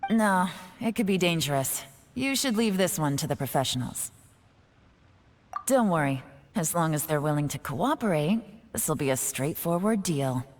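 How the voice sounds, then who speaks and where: An actress playing a composed female spy who calmly confronts and lectures a culprit. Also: low voice, normal speed. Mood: brisk, reassuring. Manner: calm, cutting, composed accusation. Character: cool, sharp-witted female spy interrogator. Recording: game audio with reverb and music.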